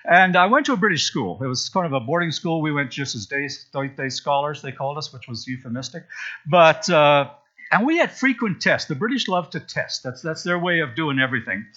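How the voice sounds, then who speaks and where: A man from Zambia preaches to his congregation in a relaxed, storytelling way, full of asides. His voice is bright and a little high. The recording sounds muffled and lo-fi. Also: fairly quick. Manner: chatty, storytelling, with frequent asides. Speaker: pastor. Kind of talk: sermon. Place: church sanctuary.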